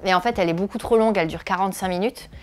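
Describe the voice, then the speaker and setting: Hesitant woman in her thirties, clear voice. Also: mid-range voice, very fast. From northeastern France. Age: 30s. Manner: hesitant, many filler sounds, self-described shy. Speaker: comedian and video creator. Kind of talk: interview answers.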